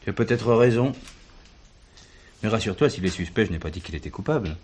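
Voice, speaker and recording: An actor playing a wry, sceptical police investigator in a French radio drama, with a dry, teasing, probing manner. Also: male voice, lowish voice, expressive, a little fast, darkish tone, breathy edge.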